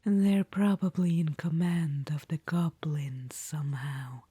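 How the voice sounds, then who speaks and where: A woman's voice: lowish voice, dark tone, no room sound, full character voice. Woman whispering as a languid undead witch-queen. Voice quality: breathy whisper. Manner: whispering, calls the listener darling. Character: undead witch-queen, ancient, vain, imperious. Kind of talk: ASMR audio roleplay.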